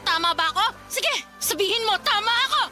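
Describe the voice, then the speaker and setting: Radio drama girlfriend, betrayed and fiery: very high, bright, piercing scolding, soft music underneath. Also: lively intonation, a little fast, heavily acted. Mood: enraged. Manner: shrill, scolding, emphatic. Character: furious, betrayed live-in girlfriend, fiery and confrontational. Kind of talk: melodramatic radio drama acting. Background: background music.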